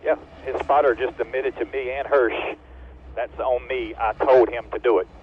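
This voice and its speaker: A man's voice: mid-range voice, steady tone, moderate pace. A man passing on information to his race team over a two-way radio. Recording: dull team radio, faint engine noise.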